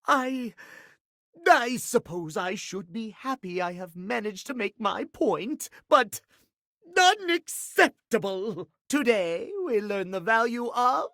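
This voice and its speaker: A man's voice: sits high, expressive, slow, bright, forceful tone, dry recording, studio quality, full character voice. A male actor voicing a fussy, romantic timepiece in a video game, with formal, theatrical, precise diction. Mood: fussy, pedantic. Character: fussy, prim, schedule-obsessed male timepiece, romantic dandy. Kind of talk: video game character acting.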